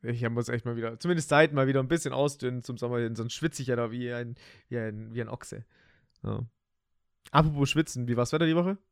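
A young man co-hosting a casual podcast chat, slangy and excitable, laughing often. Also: mid-range voice, fast, creaky, clear, resonant tone, no echo, clean studio sound. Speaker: podcast co-host.

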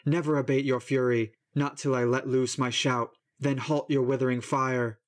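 A man's voice: medium pitch, little pitch movement, normal speed, natural voice. A man reading an epic poem aloud, voicing a queen goddess who urgently orders her son into battle. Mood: commanding.

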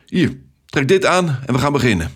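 Man voicing male traffic controller, children's story, cheerful, energetic, talkative, hearty, slightly husky. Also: medium pitch, normal speed, pitched above the performer's own voice. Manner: hearty, encouraging, chatty.

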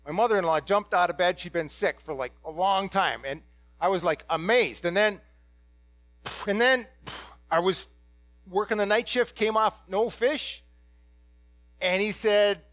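A blunt, ordinary middle-aged fisherman apostle, played by a man speaks in a rather high voice to a congregation. He rambles and stumbles over restarts in a casual, excitable way. The sound is dry and close. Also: measured pace, pauses often, dry recording. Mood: chatty. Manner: excitable, blurting. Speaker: dramatic first-person preacher. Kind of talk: first-person biblical monologue to a congregation.

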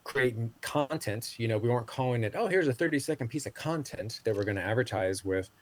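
A man's voice: mid-range voice, animated, a little fast. A man in his forties, a podcast guest, talking casually with many fillers and restarts. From Southeast Asia. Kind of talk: casual interview talk.

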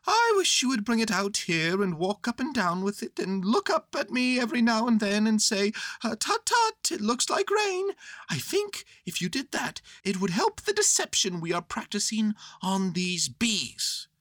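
A woman voicing an earnest, scheming, silly male toy bear from a children's storybook, with excitable, self-important pronouncements. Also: mid-range voice, normal speed, no echo, clean studio sound, voice pushed up. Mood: bossy, fretful.

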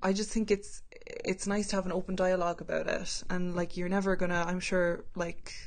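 A woman's voice: lowish voice, little pitch movement, a little fast. A woman hosting a podcast, chatting in a rambling, self-mocking way over a video call. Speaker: podcast host. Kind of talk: casual chat. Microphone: own mic over a video call.

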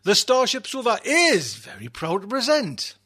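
A man's voice: high voice, wildly swooping pitch, normal speed, pushed and bright, husky. A man hosting a podcast casually, chatty and rambling, often repeating his phrases. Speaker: podcast host.

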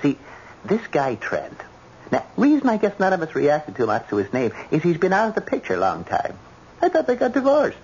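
An actor playing a gossipy, eager, folksy police sergeant in a radio drama, colloquial, animated and emphatic. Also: male voice, on the high side, moderate pace. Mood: excited. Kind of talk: 1970s radio drama acting. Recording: muffled, noisy old radio recording.

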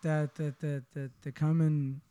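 A man's voice: on the high side, flat delivery, a little slow, mellow, dry recording. A man in his twenties from Honduras, a podcast guest in a barbershop, telling his story in a laid-back way and often asking "you know what I mean". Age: 20s. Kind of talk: casual personal storytelling.

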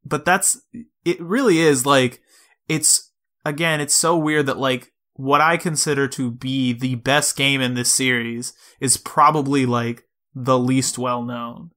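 A man with a bright, forceful voice co-hosts a video game podcast. He speaks informally, in a casual conversational style, at a middling pitch. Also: fairly even intonation, measured pace. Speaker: podcast co-host.

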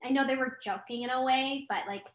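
Young woman, fairly high voice, chatty, laughs often, roomy echo. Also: brisk, dull audio. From Chinese heritage. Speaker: co-host. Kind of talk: casual chat. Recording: echoey room.

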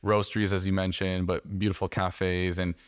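A man's voice: low voice, flat delivery, moderate pace, clear, resonant tone. A man from Canada hosting a casual interview, telling stories with frequent hesitations. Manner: hesitant, many fillers and restarts while storytelling. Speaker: podcast host.

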